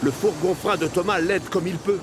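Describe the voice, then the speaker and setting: Expressive, higher-pitched male cartoon narrator. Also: moderate pace, heavy background noise. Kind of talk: scripted children's cartoon narration.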